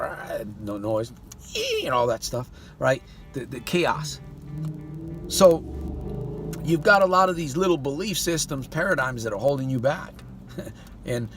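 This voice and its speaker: Man coaching with lively, swinging pitch. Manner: fires repeated rhetorical questions at the listener; folksy, calls the listener "my friend". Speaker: life coach. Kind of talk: motivational monologue to camera.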